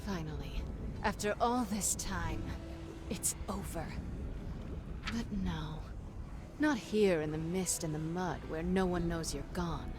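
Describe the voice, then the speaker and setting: An actress playing a tough, vengeful pirate captain in a video game, with a wry, hard-edged delivery. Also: female voice, on the low side, slow pace, music in the background. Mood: vengeful resolve. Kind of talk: video game character acting.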